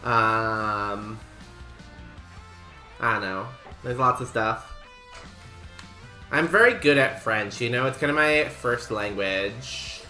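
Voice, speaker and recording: A man's voice: mid-range voice, sharp tone. A young man from Canada chatting casually with his stream audience while he plays, rambling and poking fun at himself with lots of ums and likes. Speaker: streamer playing a video game. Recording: roomy echo with faint music behind.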